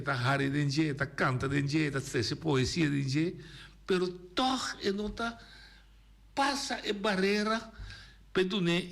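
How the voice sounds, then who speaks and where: A man hosting a radio call-in discussion, talking in an animated, emphatic way with many restarts. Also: high voice. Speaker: radio host. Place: radio studio.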